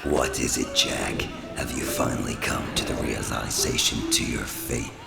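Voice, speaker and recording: A man playing a menacing, mocking devil in a radio play, speaking in slow, archaic, imperious phrases with a gravelly voice. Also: rumbling low, fairly even intonation, full character voice. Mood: grudging, imperious. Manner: slow, deliberate, archaic grand speech. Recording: echoing voice under loud music and rain.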